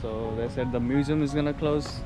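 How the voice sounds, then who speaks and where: A man's voice: mid-range voice, fairly even intonation, brisk. A man with an Indian accent hosting a casual travel vlog, upbeat and chatty with fillers, talking while walking outdoors. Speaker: vlogger host. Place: outdoors at a botanical garden. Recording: dull lo-fi sound with loud music.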